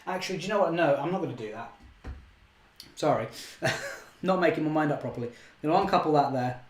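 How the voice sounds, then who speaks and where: A young man, slightly hoarse, chatting cheerfully through a live model railway session and correcting himself often. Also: on the high side, lively intonation, a little fast, roomy sound. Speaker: model railway channel host. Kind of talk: live-stream running commentary.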